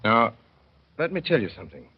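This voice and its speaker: A man narrating a 1930s radio drama as a father, in a wry, mournful storytelling manner that slows to dwell on sad images. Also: medium pitch, sing-song, husky. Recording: dull old radio broadcast.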